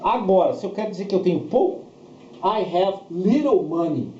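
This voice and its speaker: A man's voice: high-pitched, expressive, moderate pace, a little mellow. A man with a Brazilian accent teaching a bilingual grammar lesson, explaining didactically with repetition and short check questions, in a dull, roomy recording with faint noise. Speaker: English teacher.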